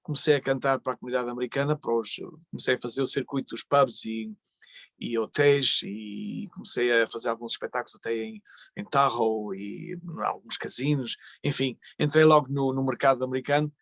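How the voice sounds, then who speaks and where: A man in his sixties from Portugal with a European Portuguese accent, a singer answering panel questions in a reflective, halting way. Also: medium pitch, flat delivery, vocal fry, a bit bright, dry recording. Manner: reflective, halting with many fillers and restarts. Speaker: fado singer and panel guest. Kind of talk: online panel interview.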